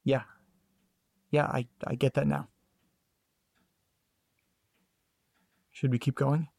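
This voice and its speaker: A guarded, sarcastic man played by an actor in an audio drama, sounding detached from his troubles. His speech is dry, clipped and hesitant, at a middle pitch, recorded with very little room sound. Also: flat delivery, moderate pace, gravelly, darkish tone, no room sound. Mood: wry. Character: guarded, sarcastic grandson detached from family trauma. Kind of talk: naturalistic audio drama acting.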